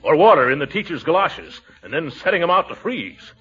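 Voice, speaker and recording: An actor playing a pompous, easily riled middle-aged uncle in a 1940s radio comedy, blustering and hemming and hawing. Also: male voice, on the high side, big pitch swings, fairly quick, a bit bright. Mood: gleeful. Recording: dull, faintly noisy 1940s radio recording.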